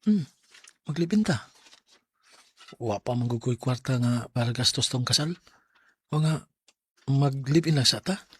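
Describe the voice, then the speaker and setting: A young Cebuano Filipino man in a radio drama, a devoted boyfriend who is easily made suspicious. He talks in an earnest, conversational way at a medium pitch. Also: lively intonation, unhurried, pauses often, slightly rough. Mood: earnest, pleased. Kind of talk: Bisaya radio drama acting.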